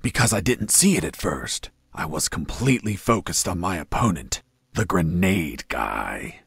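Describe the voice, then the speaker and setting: A man playing a gruff, cocky prisoner action hero in a video game, telling his story in a deadpan drawl. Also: sits low, unhurried, exaggerated voice. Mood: matter-of-fact.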